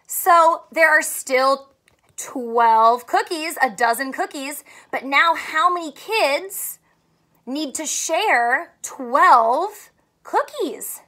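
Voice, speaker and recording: Young lady teacher doing a kids read-aloud, really high bright voice, asks little questions. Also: very slow. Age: young adult. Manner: animated, simple questioning addressed to young children. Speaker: teacher reading aloud to children. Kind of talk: children's read-aloud with a math lesson. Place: small furnished room indoors.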